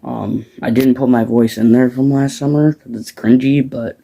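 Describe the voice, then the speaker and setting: A man's voice: medium pitch, little pitch movement, normal speed, creaky, warm tone. A teenage boy from the Midwest, rambling casually about farms outdoors at dusk, with lots of fillers and restarts. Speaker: farm vlogger.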